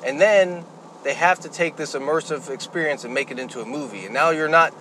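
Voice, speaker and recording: A man co-hosting a podcast, talking in a relaxed way and laughing between points. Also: on the high side, fairly quick, a little hiss or hum. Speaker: podcast co-host. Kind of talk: casual chat.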